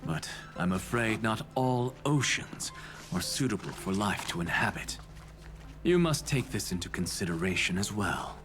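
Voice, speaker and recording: A man voicing an arrogant, philosophical professor in a video game, with a theatrical and deliberate delivery. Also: on the low side, animated, fairly bright tone, slight background noise. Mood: haughty, measured. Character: arrogant, philosophical male scholar, blasphemous professor.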